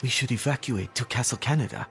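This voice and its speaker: An actor playing a stubborn, principled pacifist healer monk, earnest and pleading, in a dramatic video game cutscene. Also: male voice, mid-range voice, fast talker, fairly bright tone, slight background noise, close to an everyday voice. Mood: reproachful, defiant. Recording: background music under the dialogue.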